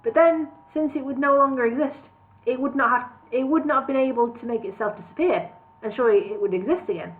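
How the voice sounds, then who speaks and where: A woman's voice: high voice, fast. A woman reading a comic novel aloud on a livestream, playful, with chatty asides. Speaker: reader of a parody novel. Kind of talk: casual livestream audiobook reading. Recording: tinny phone-like sound.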